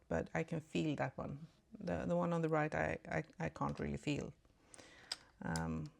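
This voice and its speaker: Swedish lady doing a morning makeup vlog, low gravelly voice, chatty, says sorry a lot. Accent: Swedish-tinged British English. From Sweden. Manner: chatty, apologetic asides, soft murmurs while applying makeup. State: just woke up. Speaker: beauty vlogger. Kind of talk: casual makeup tutorial chat.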